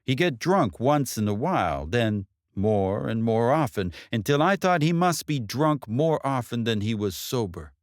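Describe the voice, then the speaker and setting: A man's voice: medium pitch, big pitch swings, unhurried, hardly any pauses, dry recording, studio quality, voice pushed up. A man voicing an older mother in a story, blunt and proud, with emphatic rhetorical questions. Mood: grave. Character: older mother, wise, proud, sharp-tongued, bitter about in-laws.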